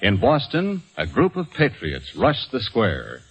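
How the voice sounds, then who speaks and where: A man's voice: medium pitch, huge pitch swings, measured pace, rough recording. A man giving dramatic, declamatory scripted narration in the style of old-time radio. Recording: vintage radio recording with loud music.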